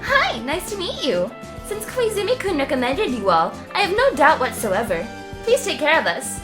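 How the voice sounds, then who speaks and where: A woman playing a bubbly, very high young hostess. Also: wildly swooping pitch, normal speed, loud music behind the voice. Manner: bright, bubbly, exaggerated.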